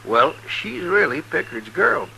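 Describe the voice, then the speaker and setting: An actor playing an excitable, folksy saloon man with urgent, rustic talk in a 1950s radio western. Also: a little high, a little slow, vocal fry, full character voice. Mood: confiding. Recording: noisy vintage 1950s radio recording.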